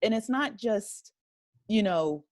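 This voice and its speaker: A woman speaking as a guest in a casual interview, thoughtful and halting as she explains things. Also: mid-range voice, lively intonation, a little mellow, no echo, studio quality. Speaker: podcast guest, writer and director.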